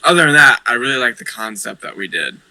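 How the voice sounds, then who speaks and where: A man's voice: mid-range voice, very animated, quick pace, pushed and bright, no echo, rough recording. A man taking part in a tabletop game as a player, chatting casually and giving his opinions a little hesitantly. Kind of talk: casual chat.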